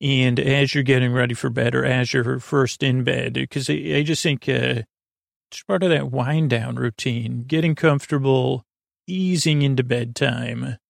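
A man hosting a bedtime podcast in a meandering, halting, stammering monologue full of whimsical, mock-earnest tangents. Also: mid-range voice, steady tone, creaky, no room sound, clean studio sound. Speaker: podcast host.

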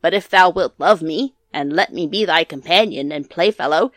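A woman's voice: fairly low pitch, normal speed, dry recording, close to an everyday voice. A woman voicing an enchanted male frog from a storybook, speaking in a formal, archaic, insistent and declamatory way. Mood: bargaining. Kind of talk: storybook character voice.

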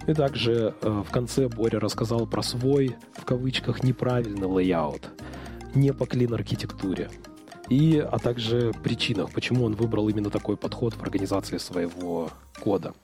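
A man with a cold and a hoarse, worn voice, reading an introduction as a podcast host. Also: mid-range voice, moderate pace, a little mellow, soft background music. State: sick with a cold, weakened hoarse voice. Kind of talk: scripted episode introduction.

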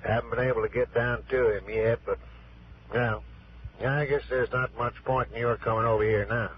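An American actor playing a wary, down-to-earth desert motel keeper in a 1960s radio drama, folksy and halting, on a noisy old recording. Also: male voice, medium pitch, steady tone, highs cut off, natural voice. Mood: grave, reluctant.

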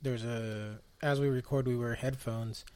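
A man's voice: mid-range voice, steady tone, unhurried, darkish tone, clean tone. A man hosting a podcast in casual chat, explaining things with dry, self-deprecating humor. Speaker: podcast host.

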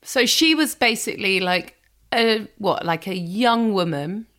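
A woman's voice: mid-range voice, animated, a little slow, fairly bright tone. A woman hosting a casual chat, asking questions in a teasing, blunt way with playful swearing. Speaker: host.

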